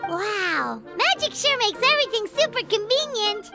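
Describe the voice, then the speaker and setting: A woman's voice: very high voice, sing-song, normal speed, exaggerated voice. A woman voicing a small, chirpy, cheeky fairy companion in a video game, talking in exclamations. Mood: chatty, cheeky. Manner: refers to self in third person, exclamatory. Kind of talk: English video game voice acting. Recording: music playing behind the voice.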